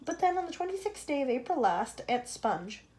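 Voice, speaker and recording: A woman voicing a prim, emotional female spider in a storybook reading, in a breathy, dramatic manner. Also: high-pitched, big pitch swings, normal speed, warm tone. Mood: tearful.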